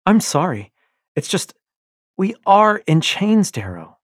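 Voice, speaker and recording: A fierce young rebel wife, put on by a male reader, speaks in a raised, swooping voice with a breathy edge. She pleads and pushes with urgent passion, and the delivery stays slow and deliberate. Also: high voice, very animated, no room sound, clean studio sound, voice pushed up, heavily acted. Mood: impassioned.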